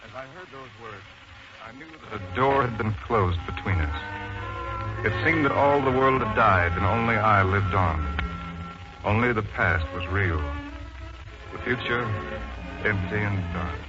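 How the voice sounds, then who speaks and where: An actor in a 1940s radio drama playing an earnest, wounded husband with an intense, theatrical delivery. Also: male voice, on the low side, expressive, unhurried, long pauses, music in the background. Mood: bleak. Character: earnest, wounded husband, son of mill owner. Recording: muffled 1940s radio recording.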